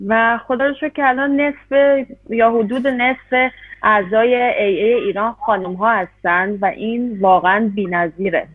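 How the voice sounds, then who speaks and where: A woman interpreting a talk, rushing through phrases with hesitant fillers between them. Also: a little high, steady tone, no echo. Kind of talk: consecutive interpretation. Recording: dry, tinny phone-like sound.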